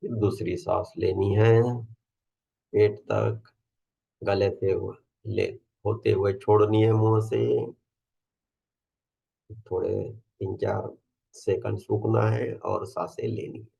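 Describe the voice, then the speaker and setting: A man with an Indian accent guides a meditation. His voice is on the low side with a noticeable creaky fry. He speaks extremely slowly, in soothing repeated instructions, leaving long pauses between phrases. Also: level tone, mellow, low-quality recording.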